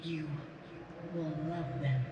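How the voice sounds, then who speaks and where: A woman's voice: low voice, steady tone, takes it slowly. A woman voicing a cold, tempting female alicorn princess in an audio drama, insistent and partly whispered. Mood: ominous. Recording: echoey, distant, loud hiss.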